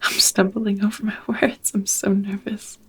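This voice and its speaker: Woman doing a nervous devoted vampire lady, asmr roleplay, super breathy whispering, earnest, pretty even pitch. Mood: nervous, tender. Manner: halting, earnest, tender. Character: devoted, nervous female vampire roommate confessing love.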